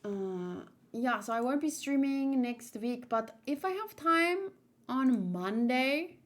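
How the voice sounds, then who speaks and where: A woman hosting a casual livestream, talking in a rambling, halting, easily distracted way. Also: high-pitched, lively intonation. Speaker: livestream host doing manga read-alongs.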